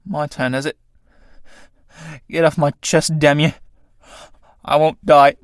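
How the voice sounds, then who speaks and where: A man acting a rough, battered tramp, close and dry. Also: a little high, flat delivery, slow, no room sound. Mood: desperate, defiant. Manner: colloquial, rough speech with dropped endings. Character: battered male tramp, rough, pitying, fighting death. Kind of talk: dramatic stage-play reading.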